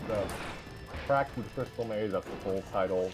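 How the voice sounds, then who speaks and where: A man's voice: lowish voice, moderate pace. A man from the United States hosting a casual discussion. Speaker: host of a fan fiction reading show. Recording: clear music behind the voice. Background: music.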